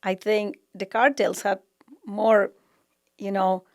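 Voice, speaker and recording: A woman's voice: medium pitch, little pitch movement, takes it slowly. A woman from Mexico, a Spanish speaker with a thick Mexican accent, talking casually as a guest on an interview show and often laughing as she talks.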